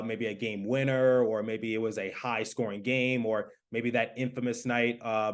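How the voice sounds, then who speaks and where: A man hosting a sports podcast, interviewing in a casual, conversational way. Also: fairly low pitch.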